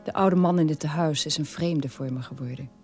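An actor playing a middle-aged, estranged son in a radio drama, telling his story in a measured way. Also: fairly high pitch, a little mellow, faint music behind, lightly acted. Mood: resigned, estranged.

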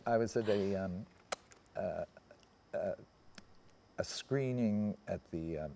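Older american guy, kinda low voice, rambly and halting, reminiscing on a panel. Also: gravelly, mellow, a little hiss or hum. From United States. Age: 70s. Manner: halting, rambling, trailing off and circling back. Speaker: panel guest recalling personal memories. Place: seated on a theatre stage.

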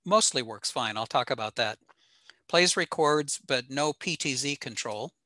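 Older male presenter, informal technical webinar, matter-of-fact delivery, bright tone, dry close sound. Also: medium pitch, lively intonation, measured pace, no room sound. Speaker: presenter at an amateur radio talk. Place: small room at home, on a video call.

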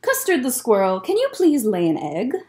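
A young woman reads picture books aloud for children. Her voice sits a little high and swings widely in pitch. She is expressive and breaks off for casual asides about the pages. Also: moderate pace. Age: young adult. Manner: expressive, child-directed storytelling. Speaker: children's librarian, story time host. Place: library room lined with bookshelves, indoors.

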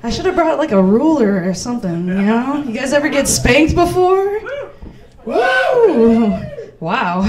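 A woman's voice: high-pitched, big pitch swings, unhurried, noisy background. A woman in her thirties doing stand-up as host in a small comedy club, playful and self-mocking on a handheld mic.

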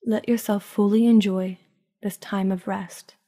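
A woman narrating a scripted guided sleep meditation in a soothing, hushed, unhurried manner with long pauses. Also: medium pitch, steady tone.

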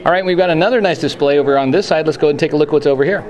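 A man's voice: fairly high pitch, very fast, crowd noise. A middle-aged man interviewing on location as a podcast host, conversational and affirming, on a handheld recorder inside a small shop. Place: small shop room, indoors. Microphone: handheld recorder with windscreen.

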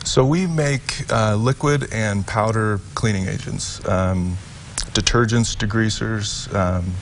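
A man in his thirties, seated on a TV studio set with a lapel mic, answering questions about his family business. He talks in a halting way, stopping and restarting with plenty of fillers. His voice sits a little low, with a faint hum behind it. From Utah. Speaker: company president, guest. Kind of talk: sponsored TV studio interview.

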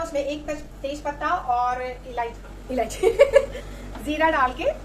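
A woman's voice: high voice, distant mic, noisy background. Chatty woman cooking in an echoey kitchen. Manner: chatty, explains each step while cooking. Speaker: cooking vlogger. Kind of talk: casual cooking walkthrough. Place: small home kitchen. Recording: roomy kitchen sound, off-mic, music underneath.

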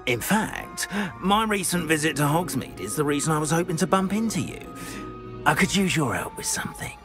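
A nervous, fussy middle-aged caretaker in a video game, fretting and apologizing as he goes. His voice sits high and leaps wildly in pitch. Game music plays clearly behind him. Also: very animated, normal speed. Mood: courteous, anxious. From Korea. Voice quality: fretful, apologetic, theatrical. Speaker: school caretaker.

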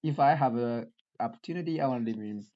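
A man in his twenties from China with a Chinese accent, chatting on a video call as a language learner, halting and repeating words as he searches for them. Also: medium pitch. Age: 20s. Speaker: language learner and channel host. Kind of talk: casual video chat. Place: small room indoors. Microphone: webcam on a video call. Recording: dull lo-fi video call audio.

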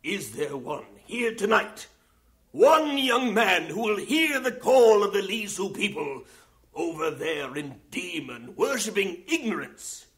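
A man's voice: high voice, sing-song, takes it slowly, fairly bright tone, full character voice. An actor playing an earnest British missionary superintendent in a radio drama, fervent and pleading when he preaches. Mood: preaching.

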